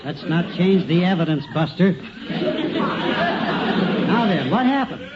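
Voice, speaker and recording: A sarcastic, flustered police sergeant with a high voice. It is 1950s radio comedy acting. The sound is dull and noisy, with a studio audience behind it. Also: male voice, sing-song, a little slow, over a noisy crowd. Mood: flustered, dry. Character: exasperated, sarcastic male police sergeant taking a report.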